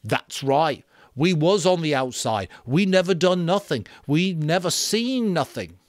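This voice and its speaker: Male reader as anxious storybook schoolboy, insistent, uneducated phrasing, raised pitch. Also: lively intonation, a little slow, no echo, studio quality. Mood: eager insistence. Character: Piggy, anxious, stubborn, pleading schoolboy.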